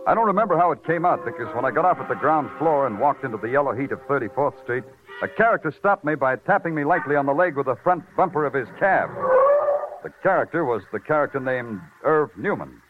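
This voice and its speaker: An actor playing a weary, wry, hard-boiled police detective in 1940s radio noir style, clipped and sardonic. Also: male voice, medium pitch, lively intonation, fast talker, phone-quality sound, over music, close to an everyday voice. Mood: wry, poetic narration. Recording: band-limited vintage radio recording.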